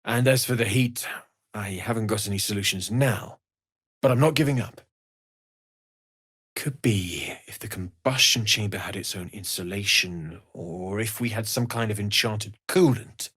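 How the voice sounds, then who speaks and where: A man playing an earnest, kindly blacksmith in a video game, weary from surviving the hells, speaking with gentle, understated sincerity. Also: on the low side, unhurried, breathy edge. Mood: practical. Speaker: video game character actor. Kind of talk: acted video game dialogue.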